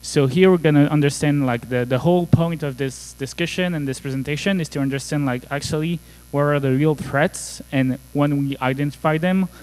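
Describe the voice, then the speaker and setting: A man's voice: fairly high pitch, brisk, hardly any pauses, a little mellow, poor audio. A man in his thirties from France with a French accent, giving a joking, casual conference talk full of fillers. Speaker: conference speaker. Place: conference hall.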